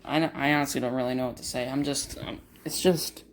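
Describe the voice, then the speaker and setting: A grieving man talking to camera in a vlog, in a monotone, halting with many fillers and restarts. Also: medium pitch, a little hiss or hum. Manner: monotone, uninteresting voice. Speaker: vlogger. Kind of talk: casual talk to camera.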